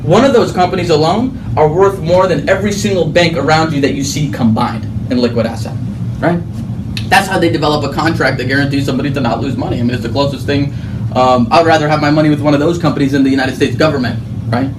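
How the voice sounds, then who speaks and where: A man's voice: fairly high pitch, fast talker. A man from Arabia, a native Arabic speaker, giving an informal sales presentation to a group in an emphatic, conversational manner with frequent check-in questions. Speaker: financial services presenter and recruiter. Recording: distant mic, clear background noise.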